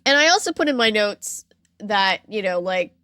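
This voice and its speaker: A woman's voice: medium pitch, expressive, moderate pace, sharp tone, clean tone, dry recording, clean studio sound. A woman hosting a podcast, telling a story casually with wry, playful asides. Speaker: podcast host. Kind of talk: casual conversational storytelling with commentary.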